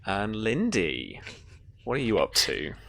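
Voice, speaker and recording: A woman's voice: rumbling low, wildly swooping pitch, takes it slowly. A woman hosting a casual stream wrap-up as game master, thanking people often. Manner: appreciative, thanks others often. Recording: clear background noise and ambience.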